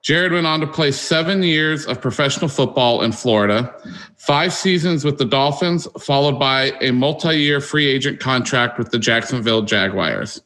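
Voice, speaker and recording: A man's voice: medium pitch, normal speed, a bit bright, poor audio. A man hosting an interview podcast, reading introductions and putting questions to his guest. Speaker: podcast host.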